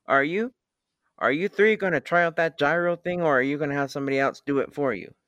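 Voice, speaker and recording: A man's voice: on the high side, fast talker, a bit bright, no room sound, natural voice. A man acting a worried, hesitant, plain-spoken spaceman sidekick in an old-time radio drama. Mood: hurried. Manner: hesitant, stammering.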